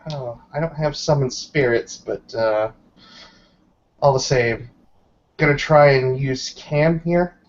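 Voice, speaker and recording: A man's voice: medium pitch, takes it slowly, pauses often. A man playing a tabletop roleplaying game, chatting casually and swearing freely as a player. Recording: dull, lo-fi voice recording.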